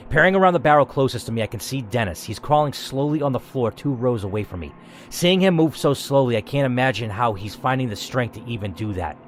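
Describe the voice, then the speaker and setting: A man's voice: medium pitch, animated, quick pace, slight background noise. A man narrating a story game dramatically and reacting to its choices with emphatic commentary, as a gaming host.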